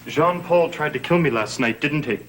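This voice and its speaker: Edgy, higher-voiced man in gothic soap acted. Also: normal speed, fairly bright tone, slight background noise, natural voice. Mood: anxious. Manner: edgy, guarded. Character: anxious, defensive, evasive man in gothic soap. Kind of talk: 1960s TV soap acting.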